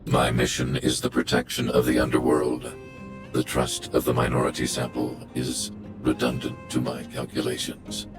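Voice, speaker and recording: An actor playing a cold, calculating giant guardian robot in a video game, speaking in a formal, emotionless, machine-like way. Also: male voice, a bass voice, completely flat delivery, normal speed, over music. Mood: cold, analytical.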